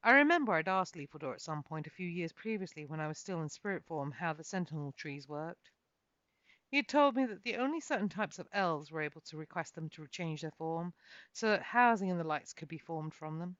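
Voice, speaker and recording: A woman's voice: deep voice, expressive, a little fast, fairly bright tone. A woman author reading her own fantasy novel as a dramatic first-person storyteller. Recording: muffled, echoey home recording.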